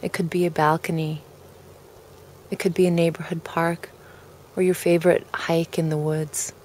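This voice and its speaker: A woman leading a guided relaxation in a calm, gentle way, with deliberate, pausing phrases. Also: fairly low pitch, slight background noise. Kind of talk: guided meditation, soft spoken invitation.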